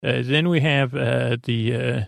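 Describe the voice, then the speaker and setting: A man with a creaky voice hosting a sleep podcast, soothing and meandering, halting as he wanders off on gently absurd, self-deprecating tangents. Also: medium pitch, steady tone, dry recording, clean studio sound. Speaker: host of a bedtime sleep podcast. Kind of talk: rambling, soothing bedtime monologue.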